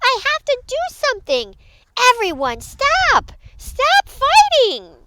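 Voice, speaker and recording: A woman's voice: very high voice, sing-song, slow, heavy background noise, pitched above the performer's own voice, heavily acted. A woman voicing a small, earnest storybook prairie dog who pleads and exclaims urgently. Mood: anguished, pleading.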